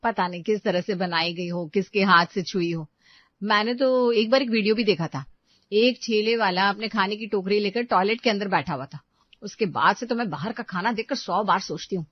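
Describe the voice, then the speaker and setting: A woman narrating a moral story in a smooth, scripted storytelling way. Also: medium pitch, normal speed, no room sound. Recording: dull dry voice-over.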